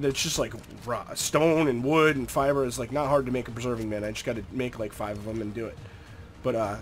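A young man with a stuffy, nasal voice from a cold, giving easygoing, chatty commentary over a game and talking to the creatures on screen. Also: medium pitch. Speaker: gameplay commentator. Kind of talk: casual gameplay commentary.

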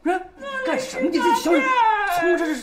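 An actor playing a blustering, wheedling, scheming military officer in a broad comic TV drama. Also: male voice, very high voice, animated, a little fast. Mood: flustered. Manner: blustering, cajoling. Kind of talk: broad television comedy-drama acting. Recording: music behind the voice.

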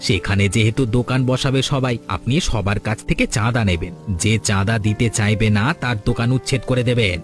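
A man's voice: fairly low pitch, fairly quick, faint music behind, lightly acted. A man playing a crafty henchman to a village boss in a cartoon folk tale, coaxing and obsequious. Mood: scheming.

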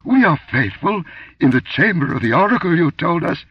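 A man reading aloud, voicing a terrified high priest who stammers out his pleas. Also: sing-song, moderate pace, husky, dry recording, dull audio. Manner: stammering, frantic.

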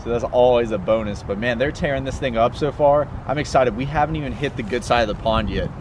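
A man's voice: mid-range voice, fast. A young man, casually and enthusiastically explaining fishing in a folksy way as a video host. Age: young adult. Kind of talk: casual instructional fishing vlog. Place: outdoors on a pond bank. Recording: outdoor wind and ambient noise.

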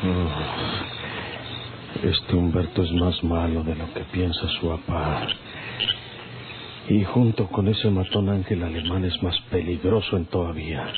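An actor playing a bold, wry cowboy avenger with folksy speech and a Mexican accent in a radio drama. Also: male voice, deep voice, fairly even intonation, slow. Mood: brooding. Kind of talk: old radio drama acting. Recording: tinny, noisy old radio recording.

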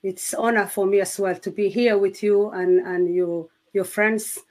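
A woman from Somalia with a Somali accent, speaking English as a second language, giving her personal testimony in an interview with earnest, halting speech as she searches for words. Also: medium pitch, steady tone, a little mellow. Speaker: guest sharing testimony.

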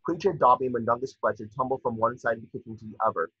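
A man's voice: mid-range voice, very fast, breathy edge. A man reading scripted stage directions as narrator of an amateur table read. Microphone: video call. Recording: muffled video-call audio.

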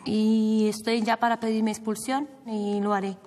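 A woman's voice: fairly high pitch, level tone, normal speed, a little mellow, big-room sound. A woman, an inmate, speaking plainly and matter-of-factly.

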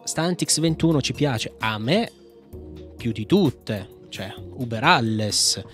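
A man's voice: medium pitch, animated, slow pace, soft background music. A man, talking casually and playfully like a video host rambling through a tech tutorial, close to the microphone. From Italy. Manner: informal, playful, slangy, rambling. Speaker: host of a Linux video channel. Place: small home room. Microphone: microphone on a boom arm, close.

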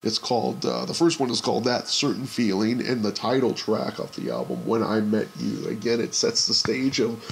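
Hoarse male podcast host with a low, gravelly voice. Enthusiastic and a little rambling. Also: animated, moderate pace, few pauses, slight background noise. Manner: enthusiastic collector, rambling with restarts. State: hoarse from a cold. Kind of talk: casual solo podcast talk.

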